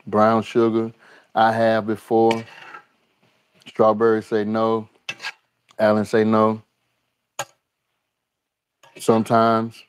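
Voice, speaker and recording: A man in his home kitchen hosts a live cooking stream, talking at an unhurried, drawn-out pace. He speaks to the viewers as y'all and says his lines again and again. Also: on the low side, clean tone, no echo. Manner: drawling, talks to viewers as y'all, repeats lines. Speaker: cooking show host. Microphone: overhead camera on the skillet, speaker unseen.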